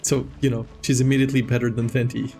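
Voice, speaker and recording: A man's voice: mid-range voice, flat delivery, quick pace, gravelly, darkish tone, soft background music. Male game streamer, mid-range voice, casual live commentary, rambling, informal, joking.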